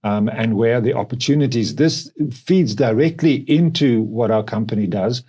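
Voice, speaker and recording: Middle-aged south african guy chatting on a podcast, soft warm mellow tone. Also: medium pitch, normal speed, husky. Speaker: company co-founder and podcast producer. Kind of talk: reflective podcast conversation.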